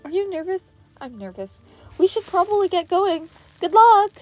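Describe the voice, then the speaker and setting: A woman's voice: very high-pitched, sing-song, moderate pace, soft background music, pitched above the performer's own voice. A woman voicing a nervous, scatterbrained, bubbly teenage girl in an audio story. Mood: nervous, excited.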